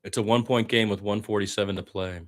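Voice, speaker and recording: A man's voice: fairly low pitch, steady tone, quick pace. A man doing live radio-style basketball play-by-play as a partisan fan, with exasperated asides about his team.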